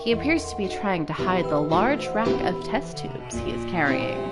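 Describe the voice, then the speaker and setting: Animated woman narrating a game over music. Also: on the low side, moderate pace. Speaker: Let's Play narrator. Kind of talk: spontaneous dramatic reading of a visual novel.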